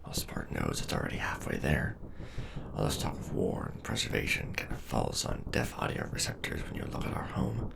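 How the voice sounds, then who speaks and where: A man performing a war-weary robot soldier for an ASMR roleplay drama, gruff and loyal. The voice is rock-bottom low and heavily creaky, with big swings in intonation, over loud background ambience. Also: heavy background noise, heavily acted. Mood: weary, brooding. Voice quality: very deep, gravelly.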